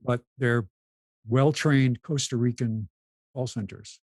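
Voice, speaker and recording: A man's voice: mid-range voice, fairly even intonation, slow, darkish tone, dry recording. A man talking casually as a panelist, explaining things and telling anecdotes. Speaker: talk show panelist.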